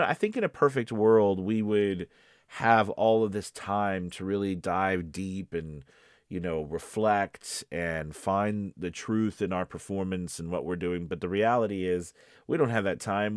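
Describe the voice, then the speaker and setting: A man's voice: lowish voice, animated, unhurried, smooth, dry recording, studio quality. A man giving informal coaching as a video host, explaining things conversationally and often checking in with the listener. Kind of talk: informal instructional talk to camera.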